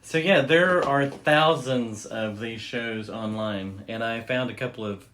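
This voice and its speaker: A man's voice: medium pitch, unhurried, a bit bright, a little hiss or hum. A man chatting casually as the host of a podcast. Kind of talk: casual chat.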